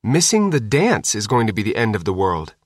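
A man's voice: fairly low pitch, very animated, brisk, no room sound, studio quality. A man reading an audiobook voices a fifteen-year-old daughter, indignant and nervous, questioning and protesting. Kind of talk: audiobook character dialogue.

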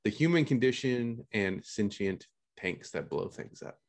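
A male podcast host with a somewhat low voice wraps up a panel in a relaxed, slightly hesitant manner. He then introduces the next segment. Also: measured pace.

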